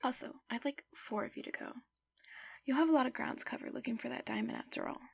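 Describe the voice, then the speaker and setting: A woman playing a commanding middle-aged school principal who runs a criminal crew, husky and creaky, measured and businesslike, in an anime-style fan dub. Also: fairly high pitch, whispered, exaggerated voice. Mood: firm, expectant.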